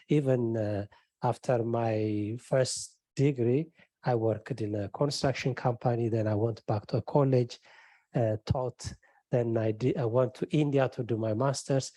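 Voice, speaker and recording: A middle-aged man with an Ethiopian accent, a professor on a panel, telling his story in a relaxed way, often adding "you know". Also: medium pitch, no echo. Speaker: wind engineering professor, panelist. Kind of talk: panel discussion answer.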